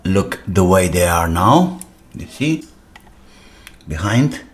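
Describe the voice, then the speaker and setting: A man who speaks English as a second language, giving casual hands-on repair commentary as a channel host, haltingly thinking aloud while he works. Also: low voice, very animated. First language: non-native English speaker.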